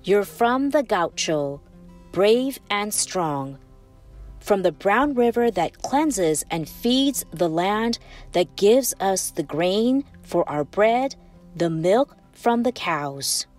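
Expressive, unhurried woman reading a storybook. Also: mid-range voice, far from the mic. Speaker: storytime host and read-aloud narrator. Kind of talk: scripted picture-book read-aloud. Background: music.

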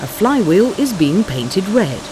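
A narrator reading a scripted factory documentary in a measured, explanatory way. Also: mid-range voice, big pitch swings, background noise. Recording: music bed behind narration. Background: background music.

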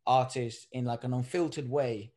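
A man's voice: medium pitch, fairly even intonation, normal speed, a little mellow. A young man co-hosting a podcast from a living room, laid-back and rambling in casual conversation. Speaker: podcast co-host.

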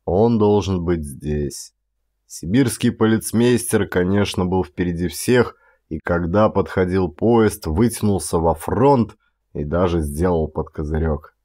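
A man reading a literary story as an actor, in measured, expressive dramatic audiobook narration. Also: deep voice.

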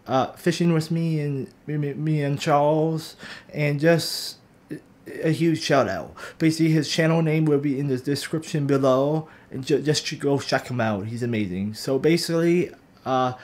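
A young man talks casually as a video host, in a mid-pitched voice. His delivery is halting, with words repeated as he finds his way. He sounds like he is chatting to viewers from a small room. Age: young adult. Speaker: fishing vlogger and host. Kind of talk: casual chat to camera. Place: small bedroom indoors. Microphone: camera at arm's length.

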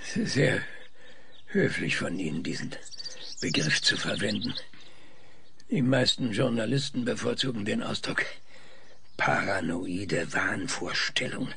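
A man's voice: on the low side, expressive, a little slow, gravelly, long pauses, pushed and bright, heavily acted. An actor playing a stubborn, weary male scientist in an audio drama, hesitant, thoughtful and dryly ironic. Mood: reflective. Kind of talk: German audio drama acting.

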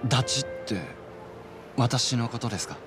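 An actor playing a polite, helpful young man in a video game cutscene, speaking in a courteous, measured, formal way. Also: mid-range voice, loud music behind the voice, lightly acted. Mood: attentive, sincere.

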